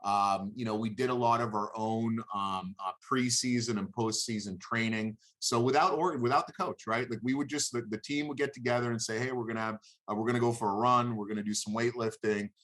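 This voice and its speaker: A man in his thirties whose first language is Hebrew, answering interview questions in a halting, conversational way, repeating words as he thinks aloud. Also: medium pitch, steady tone, a bit bright. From born in Israel, lived there until seven. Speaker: interview guest, former college wrestler.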